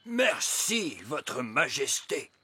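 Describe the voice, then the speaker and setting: A man playing a gruff, dignified old elf worker with a husky voice in a French cartoon dub. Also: mid-range voice, big pitch swings, drawn-out words, bright, forceful tone, exaggerated voice. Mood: formal.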